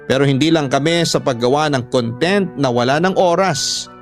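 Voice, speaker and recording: A man's voice: a little high, moderate pace. A man with a Filipino accent reading a scripted first-person story as a radio drama narrator. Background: background music.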